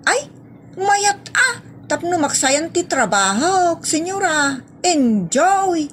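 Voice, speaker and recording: A woman's voice: very high voice, very animated, slow pace, fairly bright tone, slight background noise, full character voice. A woman playing a cheeky, lazy housemaid in a comedy audio drama, perky and exclamatory, with an Ilocano Filipino accent. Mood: breezy.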